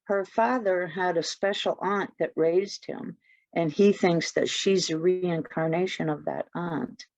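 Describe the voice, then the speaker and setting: A woman's voice: fairly low pitch, steady tone, a little slow, creaky, a little mellow, dry recording, muffled recording. A woman chatting casually as a participant in a spiritual discussion group.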